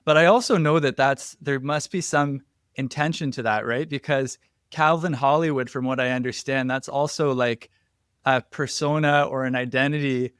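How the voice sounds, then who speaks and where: A man's voice: medium pitch, steady tone, normal speed, a bit bright, clear, resonant tone, dry recording, studio quality. Upbeat male podcast host. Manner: upbeat, conversational. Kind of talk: casual interview talk.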